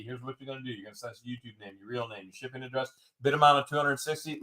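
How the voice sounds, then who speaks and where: Male auction host, mid-pitched, quick-witted, repeats bids and ribs his co-host. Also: a bit bright, low-quality recording. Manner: wisecracking, repeats bids, drawls some phrases. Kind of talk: live-stream auction patter.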